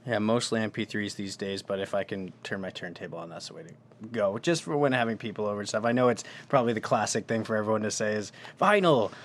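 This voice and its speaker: A man in his twenties, a band member guesting on a podcast, talking in an easygoing, chatty way. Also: on the low side. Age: 20s. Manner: easygoing, chatty, quick to laugh. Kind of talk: casual interview chat.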